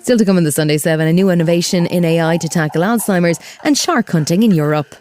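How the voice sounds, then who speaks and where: Female news podcast host, low pitch and soft-edged tone, reading a script over light music. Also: quick pace.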